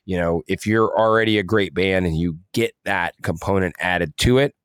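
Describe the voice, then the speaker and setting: Low-voiced man chatting on a podcast. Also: moderate pace, smooth, dry recording, clean studio sound. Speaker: podcast co-host, music artist manager. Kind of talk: casual podcast discussion.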